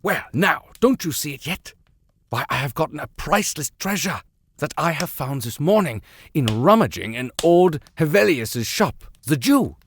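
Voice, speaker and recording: Storybook character: man playing elderly German professor, wiry and bookish, raised pitch, exclaiming with enraptured enthusiasm. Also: sing-song, moderate pace, slightly rough, no room sound, full character voice. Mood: excited boasting. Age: older.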